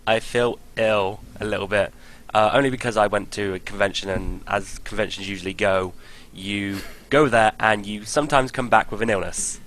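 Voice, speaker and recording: A man from the UK, a podcast guest, chatting casually and rambling with enthusiasm in a voice slightly croaky from illness. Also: on the low side, steady tone, moderate pace, fairly bright tone. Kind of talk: casual chat.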